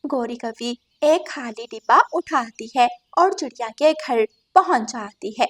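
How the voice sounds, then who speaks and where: A woman's voice: a little high, expressive, unhurried, fairly bright tone. A woman narrating a scripted cartoon story as the storyteller.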